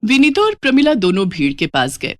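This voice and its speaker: A woman's voice: mid-range voice, wildly swooping pitch, fairly quick, dry recording, studio quality. A woman reading scripted moral-story narration as a storyteller. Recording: music playing behind the voice.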